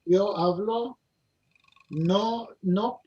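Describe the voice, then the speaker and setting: A man with an Israeli-tinged accent talks very slowly and haltingly, pausing to search for words and filling the gaps as he goes. He is a guest on a video call, and his voice comes through dull and lo-fi. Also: high voice, mellow. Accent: Israeli-tinged Ladino. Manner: hesitant, searching for words, many fillers. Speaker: guest speaker. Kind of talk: online discussion.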